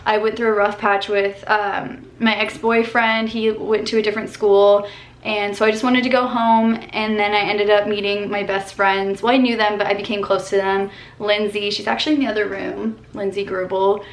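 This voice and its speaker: A young woman in her twenties from Colorado answers questions in a relaxed, upbeat vlog chat, sprinkling in "like" and "um". She sits in her bedroom, speaking to a camera at desk distance. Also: medium pitch. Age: 20s. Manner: chatty, upbeat, lots of "like" and "um". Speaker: vlogger. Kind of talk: casual Q&A vlog.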